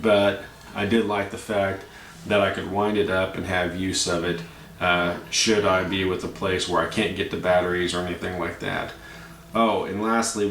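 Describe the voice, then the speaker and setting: A man giving a casual, plain-spoken product review, explaining features step by step with hesitant pauses. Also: deep voice, fairly bright tone. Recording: roomy echo, distant mic, faint noise.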